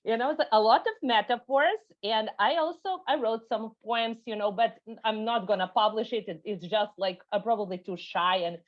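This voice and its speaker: A woman's voice: on the high side, moderate pace, few pauses. A woman interviewer asking questions in a chatty way, with many fillers and "you know"s. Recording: dull lo-fi sound.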